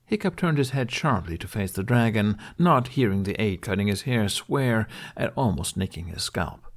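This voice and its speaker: A man's voice: fairly low pitch, expressive, moderate pace. A man narrating scripted fiction as an audiobook reader.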